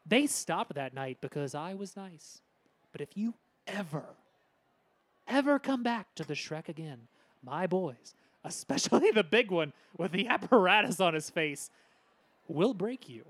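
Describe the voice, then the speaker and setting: A man's voice: sits high, expressive, unhurried, no room sound. A man playing a smug frat-boy bully. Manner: smug and dismissive, with threatening drawl.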